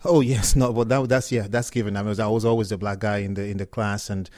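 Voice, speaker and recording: A middle-aged man from Cameroon, a startup co-founder talking casually as an interview guest, relaxed and often restarting his words. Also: lowish voice, fast talker, no room sound, clean studio sound.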